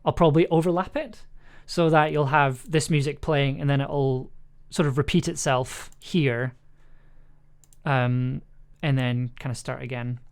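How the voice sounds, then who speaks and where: Male livestreamer and audio producer, medium pitch, informal and tentative, thinking aloud while working, keyboard clicks audible. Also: fairly even intonation, a little slow, clean tone. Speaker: streamer and audio drama producer. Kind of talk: casual livestream commentary while editing.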